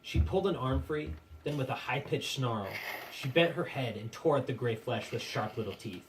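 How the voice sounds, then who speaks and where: A man narrating a puppet show as dramatic storytelling, in a measured, theatrical, literary manner. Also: medium pitch, lively intonation. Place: live puppet show performance, room. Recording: distant microphone with faint room noise.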